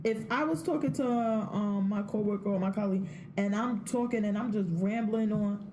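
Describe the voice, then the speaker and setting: A woman's voice: medium pitch, moderate pace, mellow, a little hiss or hum. A woman co-hosting a podcast, chatting casually in a blunt, profane way and laughing often. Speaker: podcast co-host. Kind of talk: casual opinionated chat.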